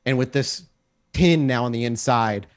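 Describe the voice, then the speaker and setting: A man's voice: mid-range voice, normal speed. A man running a tabletop role-playing game as game master, narrating scenes in descriptive, cinematic detail.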